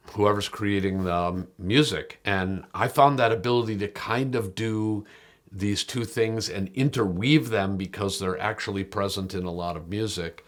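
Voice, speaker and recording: A man presenting a product review as an unscripted talk, speaking in a measured, deliberate way with pauses and stressed key words. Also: sits low. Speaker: audio magazine reviewer and host.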